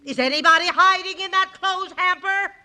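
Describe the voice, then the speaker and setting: A woman's voice: very high-pitched, moderate pace, sharp tone, heavily acted. A woman playing a bossy, suspicious middle-aged mother who runs a laundry, barking commands in 1940s radio comedy style.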